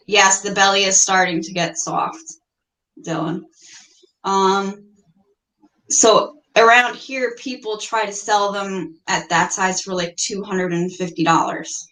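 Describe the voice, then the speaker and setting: A woman's voice: medium pitch, steady tone, slow pace, long pauses, sharp tone. A middle-aged American woman chatting casually on a livestream, telling stories in a plain-spoken, mildly salty way. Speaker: livestream guest, reptile keeper. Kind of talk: casual chat. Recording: roomy, echoey livestream audio.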